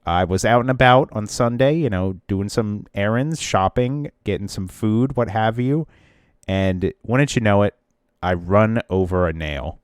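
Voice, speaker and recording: A man's voice: lowish voice, a little slow, smooth, no echo, clean studio sound. A man with a stuffy, congested voice, co-hosting a casual podcast and telling a long, rambling story full of restarts. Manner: rambling storyteller who often restarts and trails off. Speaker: podcast co-host.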